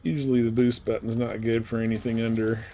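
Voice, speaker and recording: A man's voice: mid-range voice, little pitch movement, fast talker, mellow, slight background noise. A man chatting casually and informally as he streams a game. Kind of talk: casual chat while playing a space game live stream.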